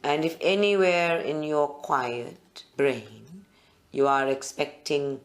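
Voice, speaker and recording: A meditation guide leads a live group session in short, deliberate phrases with pauses between them. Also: medium pitch.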